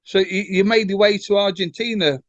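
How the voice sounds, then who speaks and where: A man hosting a casual interview, speaking informally and a little haltingly. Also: high voice, steady tone, a bit bright, no room sound, lo-fi sound. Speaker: interviewer, host.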